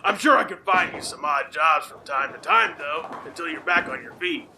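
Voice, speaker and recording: An actor playing a crude, jovial older bowling alley owner with a hearty, lewd manner in a noir radio play. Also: male voice, on the high side, moderate pace, a little hiss or hum. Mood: glib. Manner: hearty, lewd, loud greetings.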